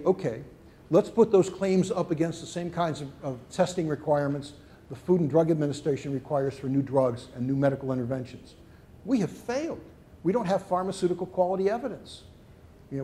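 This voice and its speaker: A middle-aged man, an education researcher, answering interview questions in an expansive, discursive way with lively emphasis. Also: a little high, slight background noise.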